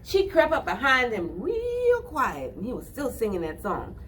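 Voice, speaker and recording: A woman telling a children's story with deliberate phrasing, addressing young listeners directly. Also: a little high, wildly swooping pitch, a bit bright, distant mic, slight background noise.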